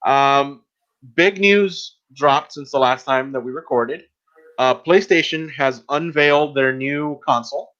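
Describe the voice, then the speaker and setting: A man hosting a casual podcast, speaking in a halting, hesitant way. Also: mid-range voice, a bit bright. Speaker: podcast host. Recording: dull, lo-fi sound.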